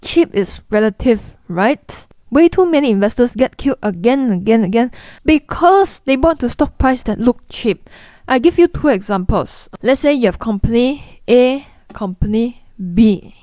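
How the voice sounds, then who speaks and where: Brief: young Singaporean-accented woman, fairly high voice, blunt and chatty vlogger, dry close recording. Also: animated, moderate pace, warm tone, no room sound. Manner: blunt, chatty, mimics people in quotes. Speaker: investing vlogger. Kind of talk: direct-to-camera explainer.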